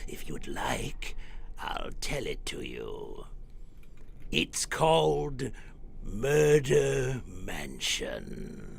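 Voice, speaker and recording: A man playing an eerie, gleefully morbid horror storyteller in the theatrical, drawn-out style of 1940s radio. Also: medium pitch, huge pitch swings, gravelly, slightly rough, full character voice. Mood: ominous storytelling. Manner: theatrical, macabre, drawn-out delivery. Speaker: host and narrator of a horror show. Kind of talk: 1940s radio horror acting, dramatic narration.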